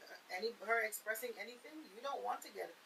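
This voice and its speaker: A woman's voice: on the high side, fast talker, vocal fry, bright, forceful tone, low-quality recording. Earnest woman on a radio panel. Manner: earnest, emphatic, asks rhetorical questions. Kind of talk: conversational explanation on air. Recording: faint hiss.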